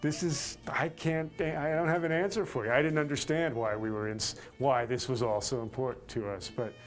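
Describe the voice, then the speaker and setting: A man's voice: medium pitch, steady tone, normal speed, faint music behind. An American man speaking reflectively and emphatically in a documentary interview, building his point in repeated phrases. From United States.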